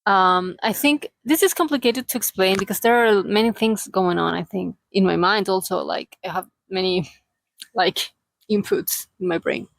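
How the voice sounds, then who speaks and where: A female vlogger from Chile talks off the cuff in Spanish-accented English with a Chilean flavor. Her medium-pitched voice has a gravelly fry to it and is captured dry, with no room sound. She feels her way through sentences, hunting for words and gently poking fun at herself. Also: moderate pace, no room sound. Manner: hesitant, searching for words, self-deprecating, jokey asides. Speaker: vlogger, aspiring cartoonist and illustrator. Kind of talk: casual unscripted confessional chat.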